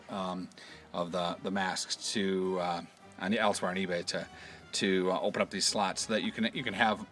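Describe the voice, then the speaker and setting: A man with a slightly low, bright voice walks through product instructions step by step. His delivery is casual and halting, often trailing off as he explains. Faint music sits behind him.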